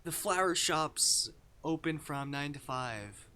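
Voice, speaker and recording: A male streamer reading a visual novel aloud with expressive, dramatic delivery and casual commentary. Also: fairly high pitch, slow pace, clean tone. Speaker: game streamer. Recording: music behind the voice.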